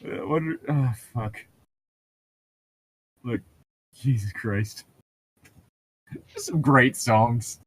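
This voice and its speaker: A man's voice: mid-range voice, extremely slow, long pauses, slightly rough. A man co-hosting a comedic read-along podcast, chatting casually with crude, profane humor. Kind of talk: casual banter between book readings.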